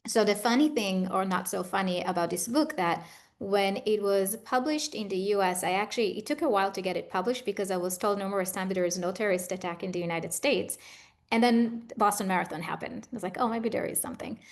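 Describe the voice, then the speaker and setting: A woman's voice: medium pitch, a little fast. A middle-aged woman from Israel with an Israeli accent, giving conversational, explanatory answers as an expert interview guest. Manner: explanatory, advising. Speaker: guest expert, trauma therapist.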